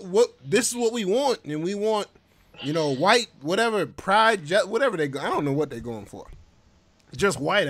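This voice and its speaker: A man hosting a comedy podcast, riffing in an animated, loud, slangy way. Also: high-pitched, no echo. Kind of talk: joking podcast banter.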